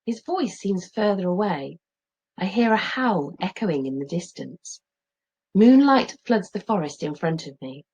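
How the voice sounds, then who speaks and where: Female teacher, middle-aged, for expressive children's story narration; lively pitch movement, lightly husky tone. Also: a little slow, poor audio. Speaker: teacher reading aloud.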